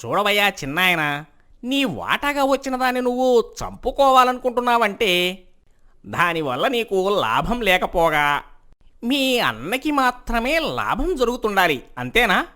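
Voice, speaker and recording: Man acting a shrewd, animated royal minister. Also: high-pitched, a little slow, exaggerated voice. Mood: probing. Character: shrewd, respectful male royal minister and judge. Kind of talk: animated moral story dialogue.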